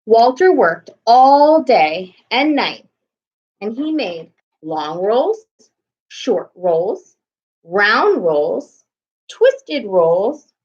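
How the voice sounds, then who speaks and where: Young female storybook reader for kids: lively intonation, unhurried, slightly dull home webcam sound. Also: fairly high pitch. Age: young adult. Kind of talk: expressive bedtime story reading for children. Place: small room at home, indoors. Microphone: webcam at arm's length.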